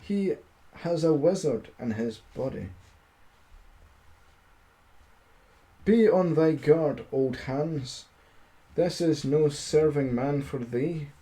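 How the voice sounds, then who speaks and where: A man acts out a gullible giant from a fairy tale, growling and suspicious. The delivery is slow and emphatic, pitched on the high side, with a soft, mellow edge. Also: low-quality recording. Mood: wary. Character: credulous, easily terrified giant, growling and suspicious.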